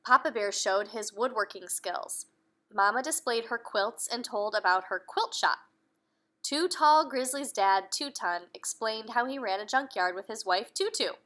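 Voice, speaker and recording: A woman reading a children's story aloud in an animated manner, pausing to put questions to young listeners. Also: fairly high pitch. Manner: animated, addresses children directly. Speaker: picture-book reader.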